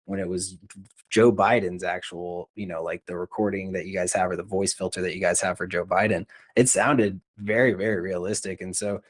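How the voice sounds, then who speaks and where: A man's voice: low-pitched, steady tone, moderate pace, breathy edge, no room sound. A man hosting a podcast, talking in a casual, conversational interview style. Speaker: podcast host.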